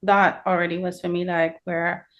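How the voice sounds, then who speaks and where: A woman's voice: on the low side, flat delivery, normal speed, highs cut off. A woman co-hosting a casual podcast, speaking bluntly and matter-of-factly. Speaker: podcast co-host. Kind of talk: casual panel discussion.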